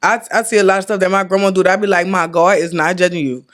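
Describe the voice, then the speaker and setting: A woman in her thirties, acting as guest host of a casual podcast chat, talking in an animated, slangy and emphatic way. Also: lowish voice, racing. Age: 30s. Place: indoor podcast set, drinking.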